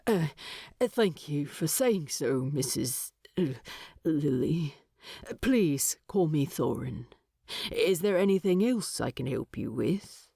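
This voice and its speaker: A woman performs a gruff storybook dwarf man with a creaky voice. He speaks slowly and formally, hesitating politely, yet his pitch moves in a lively way. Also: no echo, clean studio sound, exaggerated voice. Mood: awkward, polite. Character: gruff, awkward, courteous dwarf man in exile.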